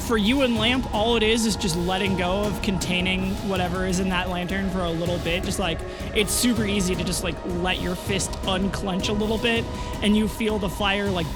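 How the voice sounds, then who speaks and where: A man's voice: high voice, fairly even intonation, fairly quick. A man narrating a fantasy tabletop game as game master in a casual, descriptive way, improvising as he goes. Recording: loud music bed behind the voice.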